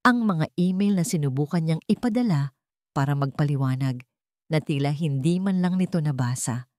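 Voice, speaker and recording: A woman's voice: deep voice, lively intonation, moderate pace, a little mellow, no echo, studio quality. A woman with a Filipino accent reading a scripted dramatic story as its narrator.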